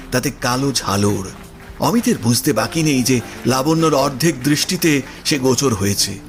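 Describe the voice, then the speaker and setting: A man reading literary prose as the narrator of an audio story. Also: mid-range voice. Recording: music under the voice. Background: background music.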